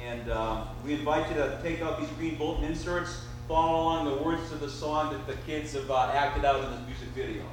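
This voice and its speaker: Halting pastor, far off in an echoey church. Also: male voice, a little high, over music. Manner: halting, full of "uh" fillers. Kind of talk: church announcement and sermon intro. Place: church sanctuary. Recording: distant mic in an echoey church hall.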